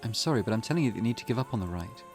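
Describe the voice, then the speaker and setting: Casting: male reader as sensible, protective teen schoolgirl in a visual novel, earnest, measured, expressive pitch, music underneath. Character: level-headed, cautious teenage schoolgirl, earnest and protective. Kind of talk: character voice reading a visual novel. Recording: music in the background.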